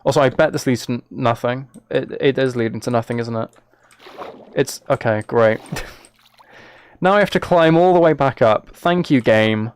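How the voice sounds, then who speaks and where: A man's voice: mid-range voice, moderate pace, clean tone. A young British man chatting casually on a gaming livestream, with a wry, playfully exasperated way of talking. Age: late teens to early 20s. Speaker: live streamer.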